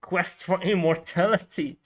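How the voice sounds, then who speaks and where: A man's voice: sits high, steady tone, unhurried. Male streamer, Frisian Dutch accent, laid-back game commentary, chatty with viewers, lots of restarts. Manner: chatty, thinks aloud, greets chat, many fillers and restarts. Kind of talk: casual livestream commentary while playing.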